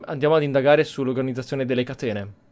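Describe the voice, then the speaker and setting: A man's voice: mid-range voice, very fast, a little mellow, a little hiss or hum. A man giving commentary as he plays through a roleplay video game. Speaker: gameplay streamer.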